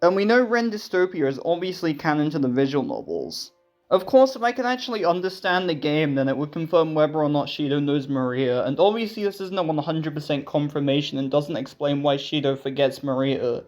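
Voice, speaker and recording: A man with a somewhat high-set voice delivers casual, scripted commentary in the style of a video essay. He is sarcastic and self-deprecating and swears freely. Faint music plays underneath his voice. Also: lively intonation, fairly quick, a little mellow.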